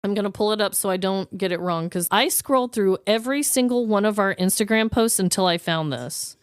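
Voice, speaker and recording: A woman's voice: mid-range voice, fairly quick, clear, resonant tone, no room sound, studio quality. An American woman co-hosting a podcast, telling stories in a casual, comedic, emphatic and informal way. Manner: animated, informal storytelling with emphatic exaggeration. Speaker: podcast co-host. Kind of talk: casual comedic true-crime chat.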